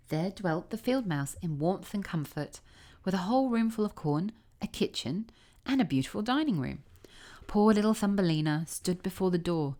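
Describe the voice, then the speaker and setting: A woman with a slightly low voice narrates a storybook tale. She reads aloud with care and expression, as a storyteller does. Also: a little mellow.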